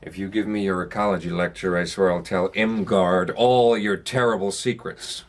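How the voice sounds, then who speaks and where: An actor playing a worldly, teasing older brother in a radio drama, dry and sarcastic. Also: male voice, sits low, steady tone, moderate pace. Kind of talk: naturalistic radio drama acting.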